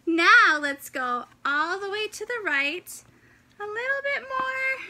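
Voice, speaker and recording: Casting: woman in her thirties, children's story-time reader, very high lively voice, encouraging and exclaiming, noisy home-style recording. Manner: animated, exclaiming, encouraging, with audible gasps. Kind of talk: interactive children's picture book read-aloud. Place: indoors, in front of a fabric backdrop.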